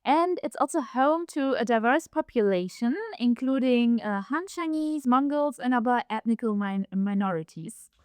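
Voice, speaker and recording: A woman co-hosts a podcast with short, steady remarks. Her voice is a bit high and smooth. The sound is dry and close. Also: normal speed, no echo. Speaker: podcast co-host. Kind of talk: casual podcast chat.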